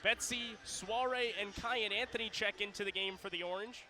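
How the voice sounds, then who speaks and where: A man's voice: high voice, fairly even intonation, moderate pace. A man doing radio basketball play-by-play in clipped, descriptive phrases, punching up the big plays. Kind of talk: radio sports play-by-play commentary. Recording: noisy arena with bouncing basketballs.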